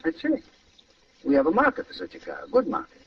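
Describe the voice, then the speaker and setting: An actor playing an oily, smoothly menacing nightclub boss and blackmailer in an old-time radio crime drama, with a gravelly, husky voice. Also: male voice, sits high, wildly swooping pitch, fast, a little hiss or hum, exaggerated voice. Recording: muffled distant old radio recording.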